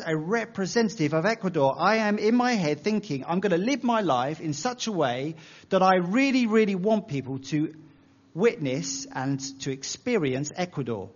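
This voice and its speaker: English male preacher, casual self-deprecating delivery, slightly high voice, dull muffled recording. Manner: conversational, self-deprecating, uses analogies. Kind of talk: sermon.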